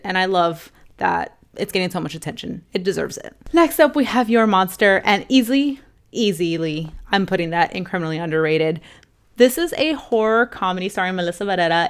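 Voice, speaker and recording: A young woman vlogger casually reviewing films to camera, rambling with lots of fillers and restarts, a little hoarse. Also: medium pitch. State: slightly hoarse. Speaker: film vlogger ranking movies.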